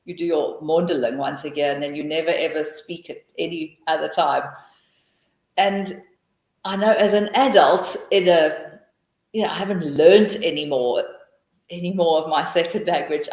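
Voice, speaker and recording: A woman's voice: low voice, normal speed, reverberant, rough recording. A middle-aged woman with a South African accent, answering questions in a webinar and thinking aloud with many restarts. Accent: South African English. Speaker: teacher trainer.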